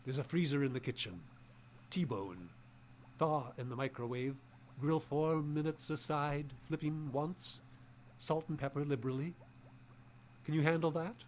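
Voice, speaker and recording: An actor playing a secretive, eccentric old scientist in an audio drama, giving clipped, imperious orders and muttering to himself. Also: male voice, on the high side, measured pace, long pauses, darkish tone, a little hiss or hum, natural voice. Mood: brusque. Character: eccentric old male scientist, secretive, stern, meat-loving. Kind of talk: live audio drama acting.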